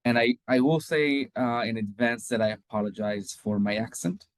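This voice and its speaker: A man's voice: mid-range voice, moderate pace, no echo. A man in his thirties from Israel with an Israeli accent, speaking hesitantly as a webinar guest over a video call. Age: 30s. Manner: hesitant, many ums, laughs lightly. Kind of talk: casual spoken answer.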